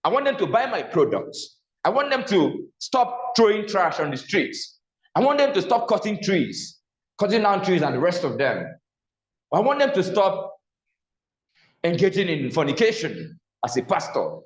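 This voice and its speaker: A man's voice: high-pitched, very animated, moderate pace. Nigerian male instructor in an echoey room. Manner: asks the class questions and answers them himself. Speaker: public speaking instructor. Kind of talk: classroom lecture. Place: classroom. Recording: echoey room, slightly dull sound.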